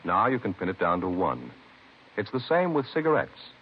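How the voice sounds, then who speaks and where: A man reading a scripted 1950s radio commercial as announcer, with deliberate sales emphasis. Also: deep voice, huge pitch swings, slightly rough. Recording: muffled vintage radio recording.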